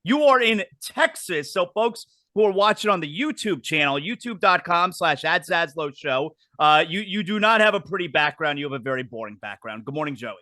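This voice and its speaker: A middle-aged man hosts a casual wrestling show. His voice sits high and bright, and he rambles cheerfully, doubling back on his words. The sound is dry, with no room around it. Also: expressive, normal speed, few pauses, no echo. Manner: chatty, repeats words when restarting. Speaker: podcast host.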